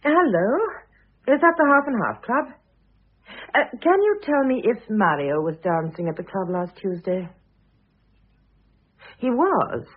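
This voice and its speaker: An actress playing a shrewd middle-aged society wife in a classic radio drama, dry and pointed, with a husky voice. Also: female voice, medium pitch, sing-song, a little slow, pauses often. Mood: composed, polite. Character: older, shrewd, cutting society wife, coolly probing. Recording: muffled phone-like old radio recording.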